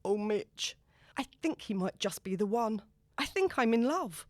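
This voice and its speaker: Woman acting gushing, lovestruck woman with lively pitch. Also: a little slow, no echo, clean studio sound. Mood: breathless, excited. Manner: breathless, gushing. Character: excitable lovestruck woman, eager to please.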